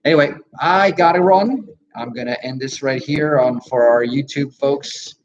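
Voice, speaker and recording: A man hosting a live radio talk show, with an energetic, salesy way of talking and frequent fillers. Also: mid-range voice, normal speed, dull audio, slight background noise. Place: radio studio.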